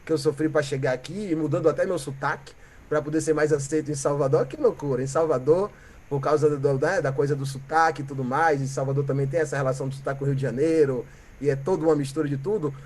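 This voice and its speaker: A Brazilian man from Bahia, a musician guest, telling stories in a reflective, animated way and often ending with "né?" or "sabe?". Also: on the high side, fast talker, slight background noise. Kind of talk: reflective conversational storytelling.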